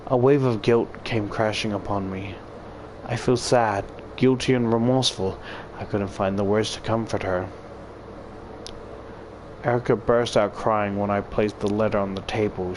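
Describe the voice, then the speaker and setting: A man's voice: lowish voice, background noise. A man narrating a first-person story dramatically and expressively, as if reading a visual novel aloud. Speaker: visual novel reader, YouTuber. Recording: loud background music. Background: loud music.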